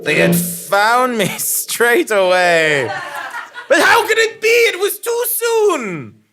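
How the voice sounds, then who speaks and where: Man acting a bewildered hero, comic radio play, hammy, slow delivery, creaky voice, faint noise. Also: extremely high pitch, very animated, heavily acted. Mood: dramatic narration. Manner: hammy, prone to giggling out of character. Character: bewildered, hot-headed investigative journalist hero of a sci-fi parody.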